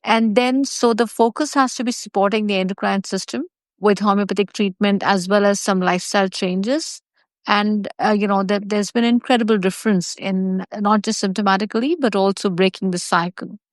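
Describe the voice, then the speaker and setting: A woman homeopath calmly describing cases from her practice in a measured, professional way. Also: medium pitch, dry recording.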